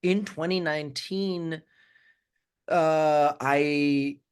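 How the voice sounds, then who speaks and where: A man's voice: a little high, steady tone, extremely slow, smooth. A man in his thirties, from India with an American accent, speaking as a webinar guest over a video call, telling his story candidly and reflectively with frequent restarts. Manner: frank, reflective, frequent restarts and repeated words.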